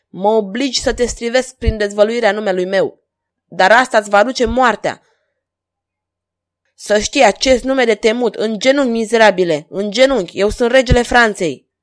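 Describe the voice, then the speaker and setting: A woman's voice: mid-range voice, lightly acted. A woman voicing an arrogant, lustful king in an audiobook, grandiose and commanding. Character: arrogant lustful king, imperious and quick to rage.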